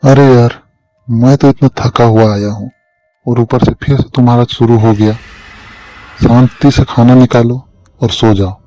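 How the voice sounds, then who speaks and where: A man's voice: mid-range voice, steady tone, measured pace, pauses often, dark tone, soft background music, close to an everyday voice, low-quality recording. A man voicing a tired, grumpy, dismissive village husband in a horror cartoon. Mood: weary, annoyed.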